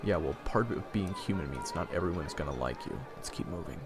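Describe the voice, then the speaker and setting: A man playing a sarcastic young traveler who narrates his own adventure in a wry, expressive, snarky way. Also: low-pitched, fairly quick. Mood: calming. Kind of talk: first-person dramatic narration and dialogue. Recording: roomy echo with long reverb, music behind.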